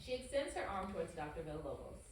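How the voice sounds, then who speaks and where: A woman's voice: lowish voice, very animated, quick pace. A woman giving scripted narration, reading the stage directions at a table read. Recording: echoey room, distant mic, faint noise.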